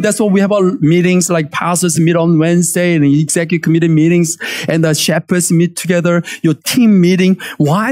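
A man in his forties with a Korean accent, preaching a lively sermon from a church stage and calling for amens. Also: high-pitched. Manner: animated, emphatic preaching with call-and-response. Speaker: pastor.

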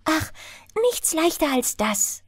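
A woman's voice: sits high, animated, slow pace, no echo, studio quality, voice pushed up. A woman reading a bedtime fairy tale, voicing an innocent, trusting little girl with an artless, childlike manner. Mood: eager, helpful.

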